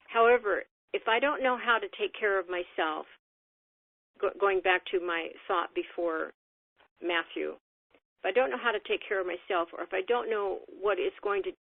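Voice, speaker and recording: A woman giving a reflective motivational talk in an earnest, deliberate, measured way over a telephone conference line. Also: mid-range voice, no room sound. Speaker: conference call speaker. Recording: phone-line conference call, narrow band.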